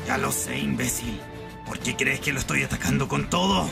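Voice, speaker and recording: A man's voice: medium pitch, moderate pace, creaky, music in the background. A man voicing an arrogant, explosive teenage boy anime hero who speaks in aggressive insults. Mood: combative.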